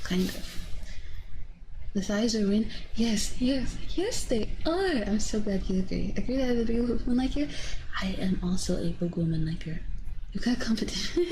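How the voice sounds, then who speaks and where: Playful girl streamer, grown woman, chatting to viewers, medium pitch, kinda whispery at times, some noise behind her. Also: a little mellow, poor audio. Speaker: livestreamer, VTuber host. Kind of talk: casual chat with livestream viewers.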